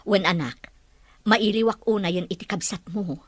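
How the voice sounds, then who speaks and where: A woman's voice: medium pitch, moderate pace, no echo, close to an everyday voice. A woman playing a mother talking with her grown child in an Ilocano audio drama. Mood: worried.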